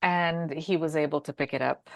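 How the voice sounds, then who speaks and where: A woman from Brazil in a casual podcast conversation, telling stories in an animated way and acting out quotes. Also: low-pitched, normal speed, vocal fry. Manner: animated storyteller who acts out quotes.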